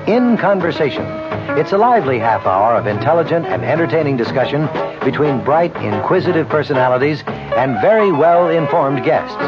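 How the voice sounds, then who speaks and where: Animated male announcer over loud music. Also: a little high. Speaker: radio promo announcer. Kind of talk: 1970s scripted radio promo. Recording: dull 1970s radio, loud music.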